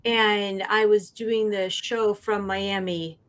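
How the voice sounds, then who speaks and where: A woman's voice: mid-range voice, steady tone, slow pace. A woman guest talking casually in a group video call. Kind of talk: casual chat.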